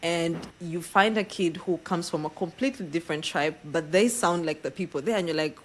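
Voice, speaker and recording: A woman from East Africa hosts a relaxed podcast interview. Her voice sits a little low, and she talks in a chatty, storytelling way, laughing often. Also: a little fast. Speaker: podcast host. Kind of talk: casual interview chat.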